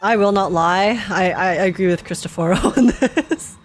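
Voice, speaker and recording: A woman's voice: medium pitch, normal speed. A woman streamer giving casual, giggly, informal live reactions to a game's story. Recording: game music behind the voice.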